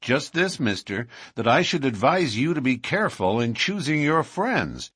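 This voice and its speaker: A man reading an audiobook, voicing a heavy, good-natured policeman calmly warning a newcomer. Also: medium pitch, moderate pace, breathy edge, no room sound, clean studio sound, pitched above the performer's own voice. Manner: calm, warning.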